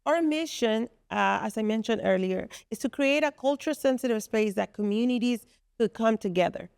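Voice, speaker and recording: A woman's voice: on the high side, lively intonation, normal speed, gravelly, darkish tone, clean tone, no echo. A woman from the Republic, a podcast guest explaining her business at length with earnest fillers. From Dominican Republic. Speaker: guest, co-founder of a business space. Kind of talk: podcast interview.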